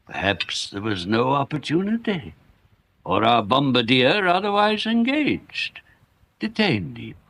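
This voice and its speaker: An actor playing a precise, self-deprecating, melancholy English shopkeeper in a radio drama, speaking formally and wryly. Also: male voice, medium pitch, sing-song, measured pace, gravelly, fairly bright tone, heavily acted. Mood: wry, resigned.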